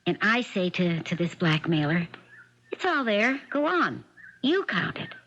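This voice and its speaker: An actress playing a domineering, shrewd and demanding wife in a 1970s radio mystery drama, with a hard-edged, commanding way of talking. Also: female voice, mid-range voice, very animated, a little slow, a bit bright, heavily acted. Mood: scheming, instructing. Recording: dull, band-limited 1970s radio broadcast.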